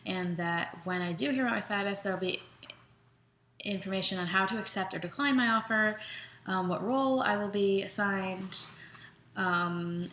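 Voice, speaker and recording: Bright-voiced young woman chatting in a roomy space. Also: mid-range voice. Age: young adult. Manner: chatty, candid, animated retelling with quoted self-talk. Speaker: vlogger. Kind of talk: casual chat to camera. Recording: roomy echo, faint background noise.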